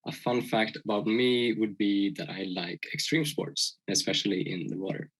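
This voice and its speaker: Low-voiced young man from Sweden. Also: normal speed, fairly bright tone, slightly rough, rough recording. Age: young adult. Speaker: panelist, third-year student. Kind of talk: online panel introduction.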